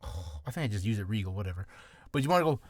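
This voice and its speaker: A man's voice: lowish voice, expressive, fast, no room sound. A man giving beginner voice-acting advice in a casual vlog, nervous and rambling, with self-deprecating asides, from a small, hot, cramped room.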